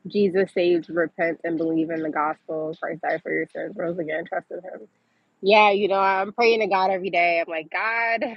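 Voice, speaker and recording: A woman's voice: fairly low pitch, dry recording, lo-fi sound. A woman from Massachusetts hosting a casual livestream, chatting informally as she reads and answers viewer comments. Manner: informal, chatty, often laughing as she talks. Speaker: livestream host.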